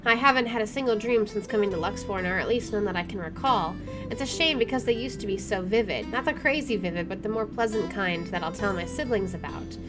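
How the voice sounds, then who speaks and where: A chatty, expressive woman reading a visual novel aloud as she plays, with casual jokey commentary. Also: mid-range voice, a little fast, music in the background. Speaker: gamer doing a first playthrough.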